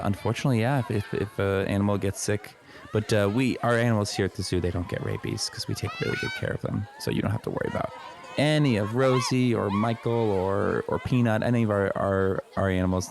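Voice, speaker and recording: A man playing a harried, low-voiced zoo keeper. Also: expressive, quick pace, vocal fry, noisy background. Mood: hesitant, reassuring. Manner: hesitant, placating, polite. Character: patient but harried male zoo keeper, gently evasive. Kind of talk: improvised comedy radio play.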